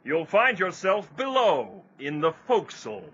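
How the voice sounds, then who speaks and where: A man's voice: on the high side, huge pitch swings, normal speed, a bit bright, muffled recording, slight background noise. An actor playing a strict male sea captain laying down rules for his crew, speaking in a stern, commanding way. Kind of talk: audio drama acting.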